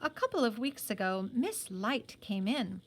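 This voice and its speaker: A woman reading a storybook, voicing a dreamy, matter-of-fact teenage working girl who recounts things plainly, with pauses. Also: a little high, sing-song, breathy edge, full character voice. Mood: musing.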